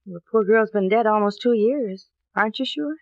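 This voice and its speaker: A woman's voice: mid-range voice, a little fast, no room sound, lightly acted. Actress as a breezy woman on muffled old radio. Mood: curious, suspicious. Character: chatty, curious young single woman, former receptionist. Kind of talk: 1950s radio drama acting. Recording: dull vintage radio recording.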